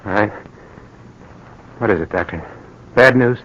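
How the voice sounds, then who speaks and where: An actor playing an anxious, devoted husband in his thirties in a 1940s radio drama, earnest and urgent, sometimes halting. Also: on the low side, fairly bright tone, low-quality recording. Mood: worried. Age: 30s. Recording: old radio drama broadcast.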